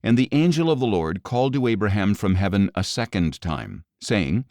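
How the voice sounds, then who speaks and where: A man narrating scripture as an audio Bible reader, with a measured, expressive dramatic delivery. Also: lowish voice, no echo.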